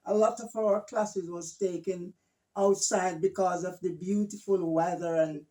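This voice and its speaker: An older woman from Jamaica, telling her life story as a guest in a casual family interview, gentle and hesitant, often repeating her words. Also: lowish voice, fairly even intonation, a little slow.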